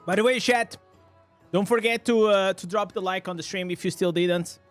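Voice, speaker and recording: A man with a high voice for a man streams a game live, narrating his play in English. He bursts into excited reactions over loot and chats casually with his viewers. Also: normal speed, no echo, soft background music. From Portugal. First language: Portuguese. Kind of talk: live gameplay commentary.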